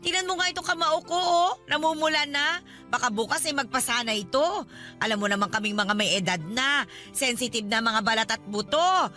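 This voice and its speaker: A woman's voice: sits high, a little fast, bright, forceful tone, faint music behind. A woman voicing a demanding, wheedling older Filipino godmother in a radio drama, complaining and coaxing as she pushes for favours. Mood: aggrieved, nagging. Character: demanding, wheedling older godmother who overstays her welcome.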